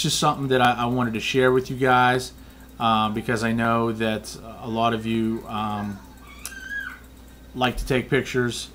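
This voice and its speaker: A man talks through a photography tip in a low, flat monotone. He rambles and restarts his sentences often, filling gaps with ums and uhs. A faint background hum sits under his voice. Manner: rambling, many fillers and restarts. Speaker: photography vlogger. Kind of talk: casual explanatory talk.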